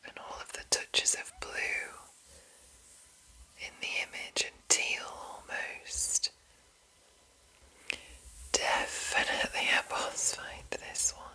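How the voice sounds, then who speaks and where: A woman whispers in a slow, deliberate ASMR reading. Her voice is all breath with hardly any voiced tone, and the whisper has a crisp, bright edge. She enunciates carefully, as a host presenting fantasy lore. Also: rough recording.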